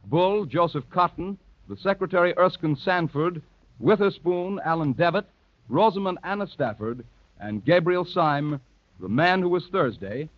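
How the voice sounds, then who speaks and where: American guy doing old 1930s radio announcing, higher voice, formal, dull dry recording. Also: dry recording. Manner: formal, crisply enunciated proclamation.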